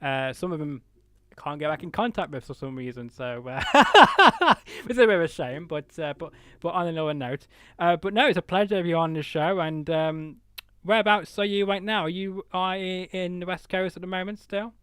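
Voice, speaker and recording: A man's voice: high voice, moderate pace, fairly bright tone, smooth, no room sound. A man hosting a live, unscripted interview show, chatting in a rambling, jokey way. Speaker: show host.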